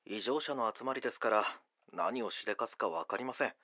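Earnest, righteous lawyer, animated. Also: male voice, lowish voice, a little fast, no echo, studio quality. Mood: indignant, resolute. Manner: earnest, emphatic. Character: righteous, outspoken male lawyer and family man. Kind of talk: audio drama acting.

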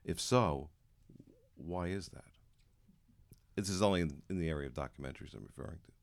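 A man with an extremely low voice asks the panel a long question from the audience. He stops and starts often and fills the gaps with ums and uhs. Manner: halting, with many fillers. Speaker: audience member asking a question.

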